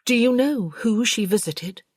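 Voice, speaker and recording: Woman voicing an eager, inquisitive young gentlewoman, lightly raised. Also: on the high side, lively intonation, measured pace, dry recording, studio quality, voice pushed up. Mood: curious. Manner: polite, keen.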